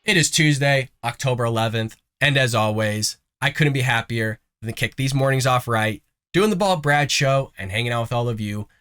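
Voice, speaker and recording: A man's voice: medium pitch, normal speed, fairly bright tone, smooth. A man hosting a political commentary show, talking casually with folksy, sarcastic asides while congested from a cough. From United States. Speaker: host of a conservative news show.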